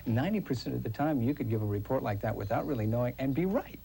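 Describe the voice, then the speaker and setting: Fast-talking American man in an interview. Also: mid-range voice, animated, creaky, low-quality recording. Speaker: radio traffic reporter. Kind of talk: candid interview talk. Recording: loud music over 1980s TV soundtrack.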